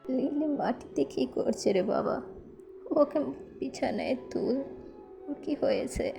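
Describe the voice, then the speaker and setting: A woman's voice: high voice, expressive, slow pace, vocal fry, dark tone, faint music behind, voice pushed up, exaggerated voice. A woman voicing a worried, caring middle-aged mother with concerned questioning in a cartoon.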